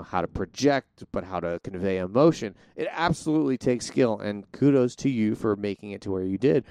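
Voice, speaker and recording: A man's voice: on the low side, mellow, clear, resonant tone, dry recording. A man co-hosting a podcast in casual chat, talkative and theatrical, often restarting his sentences. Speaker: podcast co-host.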